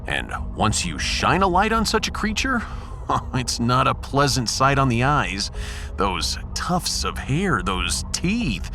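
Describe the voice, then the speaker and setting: A man's voice: medium pitch, huge pitch swings, slow, bright, forceful tone, faint music behind, heavily acted. A man playing a blunt, sardonic human starship captain in a sci-fi audio drama, with a wry, theatrical delivery. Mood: disgusted.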